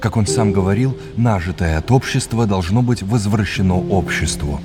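An actor playing a cultured, courteous male gallery expert who explains things in a measured storytelling manner. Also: fairly low pitch, lively intonation, close to an everyday voice. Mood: admiring. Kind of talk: audio drama, guide-like narration. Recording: loud music behind the voice. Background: music.

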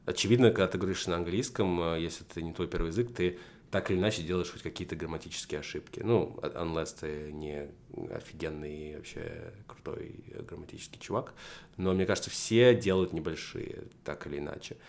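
A man's voice: deep voice, brisk, darkish tone. A young man in a casual conversation, explaining his points in a structured way with frequent fillers. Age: young adult. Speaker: podcast guest.

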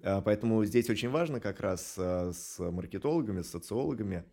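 A man answering as an animation studio director in an interview, explaining things in a halting, thoughtful way with drawn-out hesitations. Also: on the low side, expressive, vocal fry, warm tone.

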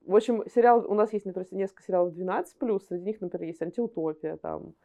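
Mellow-voiced woman in conversation. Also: mid-range voice, fast talker, vocal fry. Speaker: animation studio guest, screenwriter and editor. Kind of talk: conversational interview.

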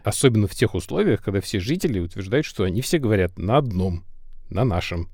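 A man's voice: fairly low pitch, lively intonation, quick pace, a little mellow, no room sound, studio quality. A man hosting a conversational interview, summing up and putting questions to a guest. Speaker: interview host.

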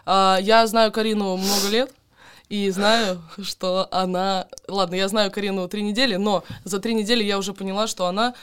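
A woman from Ukraine chatting as a podcast guest, her voice smooth and clear. She talks openly and keeps correcting herself mid-sentence. It is a close, dry recording with no room echo. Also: medium pitch, fairly even intonation, moderate pace. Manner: open, chatty, full of fillers and self-corrections. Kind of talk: casual chat.

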